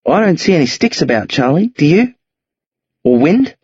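A man voicing a blunt, streetwise teenage boy who talks urgently and insistently in a husky voice. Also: a little high, sing-song, normal speed, a little mellow, no room sound, highs cut off, pitched above the performer's own voice. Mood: impatient. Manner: urgent, insistent, rough slang.

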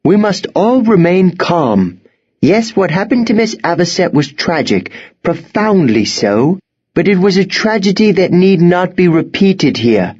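Guy doing a stern proper headmistress lady, high pitched, formal and bossy, audiobook style, slightly dull recording. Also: sing-song, unhurried, darkish tone, slightly rough, full character voice. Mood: firm, urgent. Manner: formal, commanding, precise. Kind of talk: audiobook character acting.

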